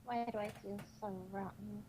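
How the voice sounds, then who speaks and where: A woman voicing a proud but guilt-ridden female cartoon pony, brooding and halting as she questions herself, in an amateur story reading. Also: medium pitch, flat delivery, natural voice. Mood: conflicted, guilty. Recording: noisy amateur microphone recording.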